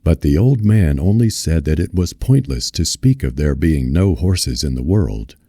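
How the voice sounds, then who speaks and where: A man reading literary fiction aloud as a narrator, in a measured, solemn manner. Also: extremely low pitch, lively intonation, mellow, husky, no room sound, studio quality. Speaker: reader of a novel.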